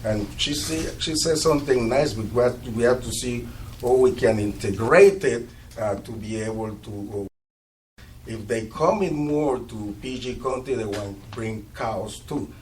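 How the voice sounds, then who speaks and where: A Panamanian man whose first language is Spanish speaks at a community meeting with a Spanish accent, halting and searching for words with many fillers. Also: mid-range voice, distant mic, slight background noise, poor audio. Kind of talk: public comments at a meeting. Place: art gallery meeting room.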